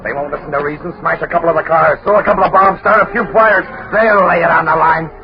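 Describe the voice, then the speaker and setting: An actor playing a bullying racketeer in 1930s radio drama style, barking commands on a muffled old radio recording. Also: male voice, on the high side, fairly even intonation, fast, background noise, close to an everyday voice. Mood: menacing. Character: bullying racketeer demanding protection money.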